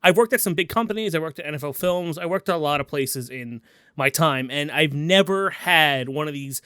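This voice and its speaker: A man's voice: a little high, fairly quick, fairly bright tone, smooth, no echo, studio quality. A man co-hosting a casual movie podcast, rambling with many restarts. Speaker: podcast co-host.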